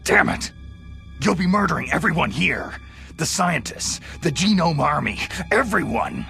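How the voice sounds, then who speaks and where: A hardened, defiant soldier in a game cutscene. His low voice is gravelly and rises and falls with feeling. Music plays under the dialogue. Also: expressive, a little slow, pushed and bright, heavily acted. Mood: angry.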